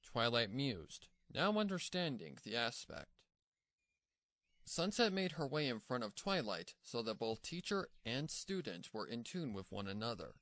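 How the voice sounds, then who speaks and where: A man's voice: medium pitch, very animated, unhurried, fairly bright tone. A man narrating a scripted story as its narrator.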